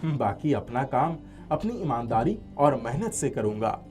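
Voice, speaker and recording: A man's voice: mid-range voice, sing-song, unhurried, a little mellow, faint music behind. A man voicing a forgiving, honest, hard-working young villager in a cartoon moral story. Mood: earnest, forgiving.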